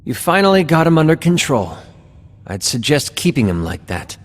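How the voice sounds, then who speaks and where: A man playing a calm, scheming villain in a video game, speaking with smug, insinuating mock politeness. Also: on the high side, moderate pace. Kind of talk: video game cutscene acting. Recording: long hall reverb.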